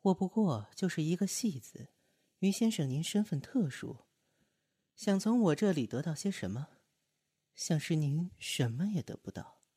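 Woman acting a cold, mellow-voiced proud opera star. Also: deep voice, expressive, moderate pace, slightly rough, no room sound, studio quality. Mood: icy, proud. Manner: cold, curt, haughty. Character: proud, aloof opera performer and strict master. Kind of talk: radio drama acting.

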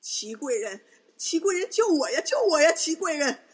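Woman playing a high-voiced, cowardly pleading nun. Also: big pitch swings, moderate pace. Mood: panicked pleading. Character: scheming, cowardly Buddhist nun begging for mercy. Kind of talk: Chinese palace radio drama acting.

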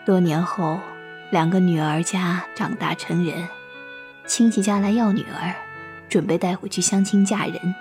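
A woman plays a Buddhist nun master in a period audio drama. Her voice is lowish and very slow, gentle and wistful with a measured sorrow. Music runs softly beneath. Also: fairly even intonation. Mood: wistful storytelling. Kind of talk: Chinese costume audio drama acting.

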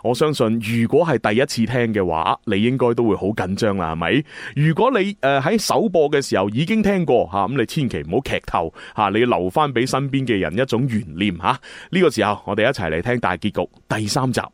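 A man's voice: mid-range voice, expressive, brisk, few pauses, no room sound. A man hosting a radio show in a casual, upbeat way, speaking directly to listeners. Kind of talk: casual radio presenting in Cantonese.

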